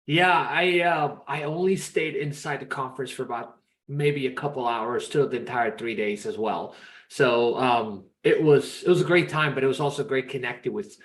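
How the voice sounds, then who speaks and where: A man's voice: medium pitch, normal speed. A man from Peru, in his thirties, chatting as a podcast guest in an upbeat, rambling way with many restarts. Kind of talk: casual interview chat.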